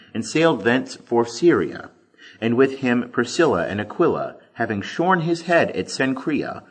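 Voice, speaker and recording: A man reading scripture as scripted audiobook narration, with expressive, deliberate emphasis. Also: mid-range voice, husky. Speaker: scripture reader.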